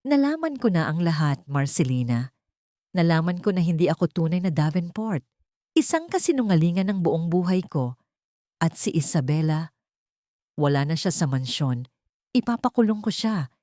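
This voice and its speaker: A woman's voice: deep voice, very animated, moderate pace, pauses often, warm tone, no room sound, studio quality. A woman voicing a remorseful, anguished father in an audio story. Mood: bitter, resolute. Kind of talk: audio story character dialogue.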